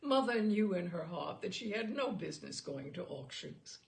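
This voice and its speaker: A woman's voice: fairly low pitch, very animated, moderate pace, creaky. A woman reading a literary short story aloud expressively, with theatrical emphasis on key words.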